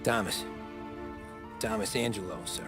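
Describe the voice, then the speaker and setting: Man as low-voiced, deferential young cabbie. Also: steady tone, a little slow, natural voice. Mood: respectful, subdued. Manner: deferential, plain-spoken, understated. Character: respectful, plucky young male cab driver. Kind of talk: cinematic video game cutscene acting. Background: music.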